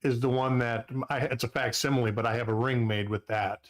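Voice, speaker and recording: A man co-hosts a casual chat, chiming in with friendly, teasing remarks. His voice sits at an ordinary middle pitch, and his delivery is relaxed. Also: fairly quick.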